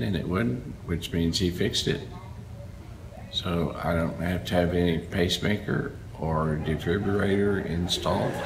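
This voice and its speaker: Man, pastor, very deep voice, weak, dry-mouthed, hospital room, echoey, noisy. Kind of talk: video message.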